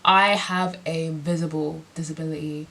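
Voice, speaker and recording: A young woman talks openly in a personal vlog. Her voice is sniffly and congested from a cold. She is reflective and unhurried, leaning on frequent "like" fillers as she gathers her thoughts. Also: low-pitched, steady tone, fairly bright tone. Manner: reflective, heavy use of "like" fillers. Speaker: musician and performer.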